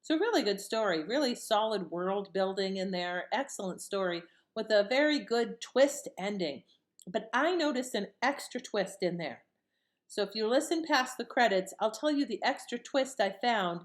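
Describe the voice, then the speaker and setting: A woman speaks as the host of a show. Her pitch is average. She gives a casual introduction with some commentary. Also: lively intonation, moderate pace. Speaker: show host introducing an old radio play.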